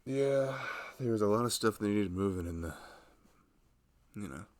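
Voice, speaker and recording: A man playing a big, caring husband in an intimate ASMR roleplay, talking softly and fussing affectionately over his pregnant wife. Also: on the low side, lively intonation, moderate pace, natural voice. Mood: tender, tired. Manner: soft, tender, fussing, playful.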